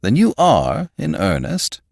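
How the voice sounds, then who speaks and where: Man playing a hearty, high-pitched, cajoling publisher boss. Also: huge pitch swings, slow, creaky, darkish tone, no room sound, clean studio sound, full character voice. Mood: eager, cajoling. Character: genial, fussing older male magazine publisher, important and persuasive.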